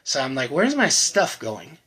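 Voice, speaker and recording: A man in his thirties giving a personal testimony to camera, earnest and conversational, often trailing off quietly at the ends of lines. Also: medium pitch, huge pitch swings, unhurried, slightly rough. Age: 30s. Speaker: channel host sharing his recovery story.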